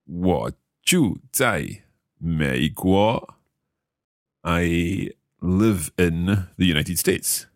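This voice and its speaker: A man's voice: low-pitched, expressive, drawn-out words, dry recording, clean studio sound. A man from Scotland hosting a podcast language lesson, speaking carefully and repeating phrases aloud. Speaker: language learner and podcast host.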